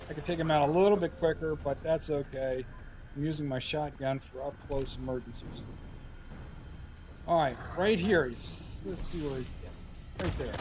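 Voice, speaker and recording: A man giving casual commentary over a game walkthrough, his voice a little hoarse and scratchy, thinking aloud with frequent filler pauses. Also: fairly high pitch. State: slightly hoarse, scratchy throat. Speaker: gameplay commentator. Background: game music and gunfire.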